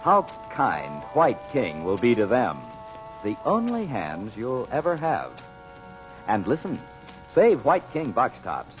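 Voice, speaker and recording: Animated male old-time radio announcer. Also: mid-range voice, slow. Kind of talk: 1940s radio announcing, scripted narration. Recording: muffled old radio recording with music.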